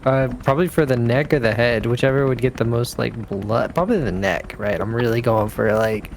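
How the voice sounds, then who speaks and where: A man's voice: medium pitch, fairly quick, vocal fry, slight background noise. A man playing a tabletop game, thinking aloud in casual, colloquial speech as he describes his moves. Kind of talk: casual improvised game talk.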